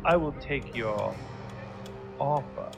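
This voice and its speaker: Slow, menacing German commandant. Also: male voice, medium pitch, lively intonation, gravelly, music in the background. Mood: grandiose, ominous. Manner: ominous, theatrical, deliberate. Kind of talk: audio drama acting.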